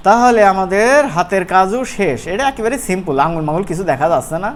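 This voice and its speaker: A man gives a casual, chatty software tutorial in an informal, colloquial way, often checking in with his listeners. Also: high-pitched, animated, fast talker. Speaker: animation course instructor. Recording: clear background noise.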